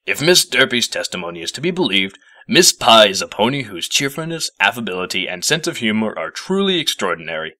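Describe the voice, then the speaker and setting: A man acts out a grave, self-important old professor from a storybook. The voice is deep and forceful, with gruff, formal phrasing. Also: big pitch swings, fairly quick, hardly any pauses, no room sound, exaggerated voice. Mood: grave, measured. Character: gruff, pompous, grave older male professor.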